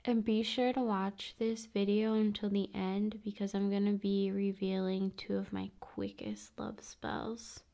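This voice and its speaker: A woman speaking softly and often in a whisper, in an intimate, soothing ASMR style addressed to the viewer. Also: medium pitch, flat delivery, measured pace, creaky, hardly any pauses. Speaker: ASMR video host.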